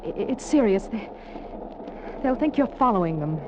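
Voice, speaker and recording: Anxious, animated young woman played by an actress. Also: mid-range voice, natural voice. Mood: urgent, nervous. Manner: tense, urgent, halting. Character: anxious, high-strung young female conspirator. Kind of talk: 1950s radio thriller acting. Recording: muffled old radio recording, clear background noise.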